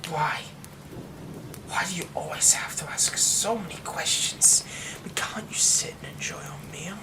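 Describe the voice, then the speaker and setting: A man voicing a haunted young man hiding a werewolf curse, speaking in a hushed, halting way that often drops to a whisper. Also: on the high side, low-quality recording. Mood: menacing whisper. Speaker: ASMR roleplay performer. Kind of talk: ASMR horror roleplay.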